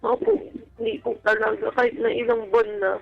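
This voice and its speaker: A woman's voice: a little high, fairly even intonation, fairly quick, creaky. A woman with a Filipino accent calling a radio show, politely sharing a personal account in a conversational way. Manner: polite, deferential. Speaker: caller seeking advice on a radio show. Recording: dull lo-fi call audio with music. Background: music.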